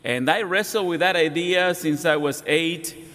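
A middle-aged man from Mexico with a Mexican accent, preaching as a guest pastor in a halting, self-deprecating way with many restarts. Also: a little high, fairly bright tone. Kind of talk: sermon. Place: large church sanctuary. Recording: long hall reverb.

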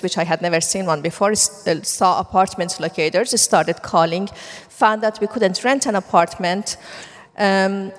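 Woman, Persian accent, middle-aged, earnest testimony, long hall reverb. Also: mid-range voice. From Iran. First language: Farsi. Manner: earnest storytelling, with fillers. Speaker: speaker telling her life story. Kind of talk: personal testimony talk.

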